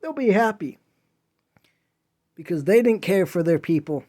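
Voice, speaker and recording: A man's voice: sits high, expressive, unhurried, a little mellow. A man hosting a podcast, delivering an impassioned solo monologue in a whiny voice, earnest and preachy as he pleads with listeners. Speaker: podcast host.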